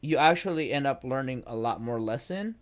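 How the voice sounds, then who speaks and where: A man's voice: medium pitch, animated. A man co-hosting a podcast in casual chat, often restarting and hesitating. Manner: halting, with frequent restarts and fillers. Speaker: podcast co-host.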